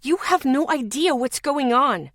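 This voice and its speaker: A woman voicing a panicked young woman in tears, loud and urgent. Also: fairly high pitch, normal speed, dry recording, clean studio sound, pitched above the performer's own voice, lightly acted. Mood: frantic. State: crying.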